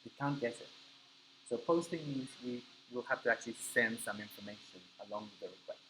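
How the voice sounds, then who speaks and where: A man from Argentina, a native Spanish speaker, teaches a room of coding students at a steady middle pitch. He breaks each idea into small steps and keeps checking that they follow. A faint hum of the room sits behind his voice. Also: brisk, poor audio. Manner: explains step by step, keeps checking with "right?". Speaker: coding instructor. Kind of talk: live classroom teaching.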